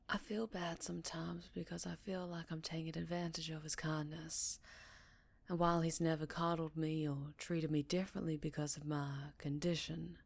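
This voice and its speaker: A woman playing a gentle, melancholy female vampire in an intimate ASMR roleplay, speaking softly in a Southern US accent, often near a whisper, with tender pauses and sighs. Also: deep voice, full character voice. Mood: soft, reflective. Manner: slow, hushed, affectionate, with pauses and sighs.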